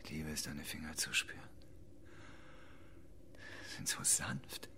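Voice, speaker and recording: A man's voice: very deep voice, lively intonation, takes it slowly, whispered, heavily acted. An actor playing a weary, broken homeless man in a radio drama, intimate, tender and bitter. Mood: hushed tenderness. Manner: hushed, intimate, world-weary.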